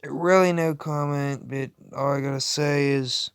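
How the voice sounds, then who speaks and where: A man with a slightly high-set voice talks casually as he reacts to a video. He is blunt and crude, swears freely and rambles from thought to thought. The sound is dry, with very little room to it. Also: steady tone, a little slow, clean tone, no echo.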